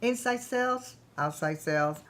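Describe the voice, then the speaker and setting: A woman's voice: fairly high pitch, lively intonation, normal speed, a bit bright. A woman playing a proud, ambitious, chatty founder of her own company in a staged play reading. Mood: enthusiastic, candid.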